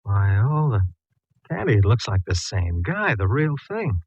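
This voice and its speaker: Low-voiced radio police detective. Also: male voice, very animated, a little slow, husky, no echo, full character voice. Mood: laconic, impressed. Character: gruff, plain-spoken male homicide police detective. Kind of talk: 1940s-50s radio detective drama acting. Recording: dull, phone-like, dry vintage radio recording.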